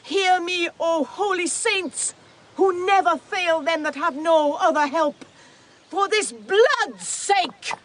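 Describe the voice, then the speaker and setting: A woman's voice: very high voice, slow pace, a bit bright, slight background noise, exaggerated voice. An actress playing a vengeful, grief-stricken old forest witch in a radio drama, solemnly intoning like an incantation. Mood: impassioned cursing. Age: older.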